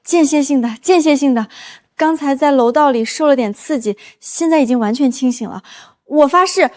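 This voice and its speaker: An actress playing a flustered young office woman who stammers out theatrical, panicky excuses in an animated drama. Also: high-pitched, normal speed, natural voice. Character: flustered young woman office planner faking a timid twin. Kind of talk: animated short drama dialogue.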